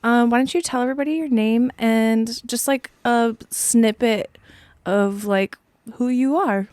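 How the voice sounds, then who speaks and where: A woman's voice: fairly high pitch, a little slow, a little mellow, no echo. A woman in her thirties hosting a podcast, chatting casually with a friend and laughing often. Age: 30s. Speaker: podcast host. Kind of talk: casual interview conversation.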